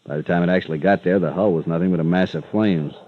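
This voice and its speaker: An actor playing a helpful, matter-of-fact harbor official in a 1950s radio drama, talking in terse, plain phrases. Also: male voice, deep voice, steady tone, quick pace, natural voice. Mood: grim, matter-of-fact. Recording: muffled, slightly noisy vintage radio.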